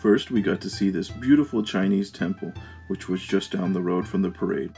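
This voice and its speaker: A man's voice: low voice, brisk, dark tone, soft background music. A man narrating a travel vlog as its host, reading from a script.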